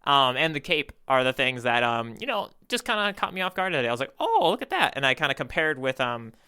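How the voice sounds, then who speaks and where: A man co-hosting a podcast in casual chat, talking in an excitable, chatty way. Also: medium pitch, fairly quick, clear, resonant tone. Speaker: podcast co-host.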